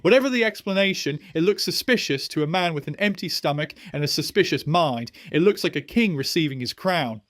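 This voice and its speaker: A man's voice: a little high, lively intonation, a little fast, hardly any pauses, fairly bright tone, dry recording. An actor in a historical audio drama playing an agitated, argumentative young Roman nobleman who speaks earnestly and urgently. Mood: sceptical.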